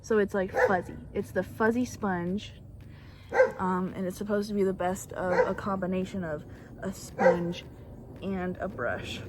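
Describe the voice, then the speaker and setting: A woman's voice: mid-range voice, fairly even intonation, a little slow, heavy background noise. A young woman whose first language is Bulgarian, chatting casually and rambling through a makeup tutorial on a back porch, filmed on a phone. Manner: chatty, rambling, self-deprecating. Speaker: beauty vlogger. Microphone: phone camera.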